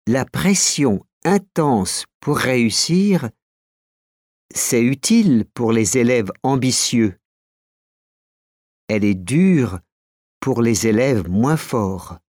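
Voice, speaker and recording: A man reads a language-course script very slowly, carefully and clearly articulated. His pitch rises and falls in a lively way, and the recording is close and dry. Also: dry recording. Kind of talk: slow scripted reading for learners.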